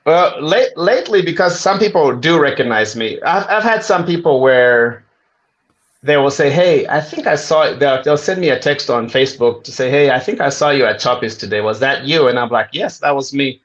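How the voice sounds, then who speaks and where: A man in his forties from Zambia, a guest telling stories in a relaxed, friendly way. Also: mid-range voice, moderate pace, lo-fi sound. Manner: relaxed, friendly storytelling with asides. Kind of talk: casual interview chat.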